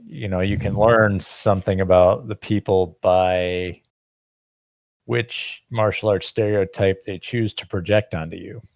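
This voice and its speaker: A man's voice: low voice, fairly even intonation, unhurried, vocal fry, a little mellow, no echo. A man co-hosting a casual podcast, talking in a rambling, reflective way with many fillers. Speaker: podcast co-host, martial arts teacher.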